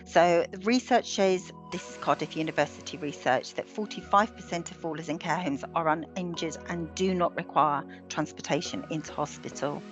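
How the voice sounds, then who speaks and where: Slightly low-pitched British woman presenting slides. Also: soft background music. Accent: British English. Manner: measured, with frequent ums and restarts. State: losing her voice. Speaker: webinar presenter.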